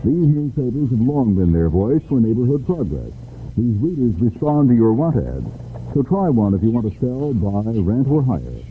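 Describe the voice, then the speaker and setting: A man's voice: medium pitch, sing-song, normal speed. A man announcing a scripted local advertisement on old radio. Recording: tinny old radio with faint noise.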